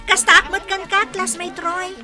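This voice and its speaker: Woman doing a happy young filipino grad in a radio drama, high voice, music playing behind. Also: level tone, normal speed, pushed and bright, dry recording, heavily acted. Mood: grateful. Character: grateful, cheerful young graduate. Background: clear music.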